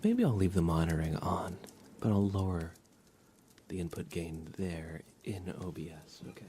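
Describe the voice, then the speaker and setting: A man speaking softly and at times near a whisper, hosting a casual, unhurried ASMR livestream. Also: low voice, lively intonation. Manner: soft, hushed, partly whispered, unhurried. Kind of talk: soft-spoken ASMR livestream chat. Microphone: sensitive streaming microphone with monitoring.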